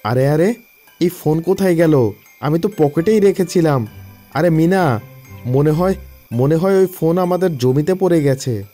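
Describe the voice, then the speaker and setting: A man voicing an excitable villager in a children's cartoon, speaking in exclamations and repeating words. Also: on the high side, moderate pace, long pauses, faint music behind, natural voice. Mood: surprised. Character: excitable village brother and alarmed farmer father. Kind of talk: Bengali children's cartoon dubbing.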